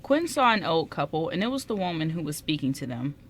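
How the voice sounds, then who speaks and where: A woman's voice: deep voice, very animated, fast. A woman reading scripted story narration for a radio play, occasionally stumbling, on a noisy amateur recording.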